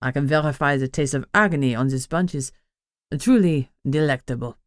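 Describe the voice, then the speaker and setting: A woman voicing a pompous male witch leader who speaks with a thick French accent and a deep voice. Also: fairly quick, dry recording, clean studio sound. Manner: pontificating, sinister.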